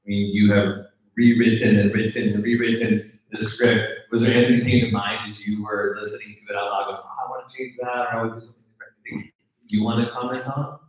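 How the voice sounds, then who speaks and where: A man's voice: lowish voice, flat delivery, fast talker, muffled recording. American guy running a casual q&a, super echoey room, mic far off. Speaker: event host and moderator. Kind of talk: informal audience discussion. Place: indoor live event room.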